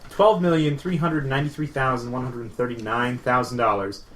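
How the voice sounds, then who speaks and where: A man's voice: mid-range voice, fairly even intonation, brisk, a bit bright, slight background noise, low-quality recording. A man co-hosting a casual podcast, explaining games in a rambling, enthusiastic way full of fillers. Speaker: podcast co-host.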